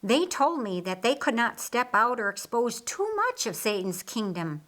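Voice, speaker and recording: A woman's voice: fairly high pitch, big pitch swings, normal speed, fairly bright tone. A woman voicing an eager, questioning grown man, someone's brother, in audiobook dialogue. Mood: inquisitive. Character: Lazarus, inquisitive, eager adult brother.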